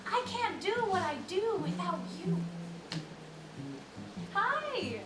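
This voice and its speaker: Woman, thirties, high voice, theatrical storyteller, full of laughs. Roomy theatre recording from far off. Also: very animated, takes it slowly, music in the background. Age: 30s. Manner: storytelling with laughs, mimicking customers. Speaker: solo stage performer. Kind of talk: theatrical monologue. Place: theatre stage with live audience. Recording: roomy theatre sound from a distance. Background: upright bass, audience laughter.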